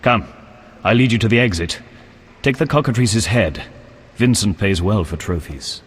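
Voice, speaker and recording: An earnest game knight: slightly husky voice, solemn courtly phrasing with expressive swings, heard in long reverb. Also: male voice, fairly low pitch, normal speed. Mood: polite, alert. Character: earnest, pious, courteous male knight of an order. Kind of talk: video game character acting.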